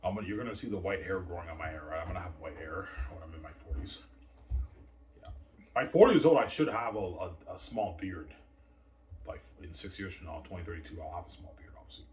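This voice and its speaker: A man's voice: low-pitched, lively intonation, fast talker. A man in his thirties rambling boastfully in a casual monologue, tagging "right?" and "obviously" onto his points. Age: 30s. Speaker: channel host. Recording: distant mic with faint noise.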